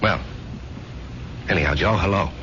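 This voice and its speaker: A man's voice: deep voice, moderate pace, fairly bright tone, slight background noise. An actor playing an earnest, good-natured salesman, anxious and frustrated, in a radio drama. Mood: hesitant. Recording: muffled old radio broadcast.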